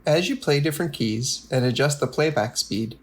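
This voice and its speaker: A man's voice: fairly high pitch, expressive, normal speed, over loud music. A man narrating a scripted technical tutorial in a methodical, explanatory way, as a product demonstrator.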